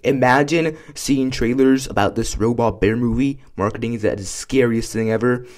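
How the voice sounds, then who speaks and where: A young man giving casual, tongue-in-cheek commentary in a deadpan manner, as in a video essay. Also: medium pitch, moderate pace. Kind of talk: casual scripted commentary with ad-libs.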